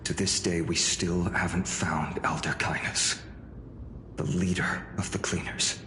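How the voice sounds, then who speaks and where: A deep, earnest young leader. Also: male voice, a little slow, noisy background. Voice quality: calm, courteous. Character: earnest young male leader, polite and respectful. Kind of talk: game voice acting. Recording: roomy echo, music behind.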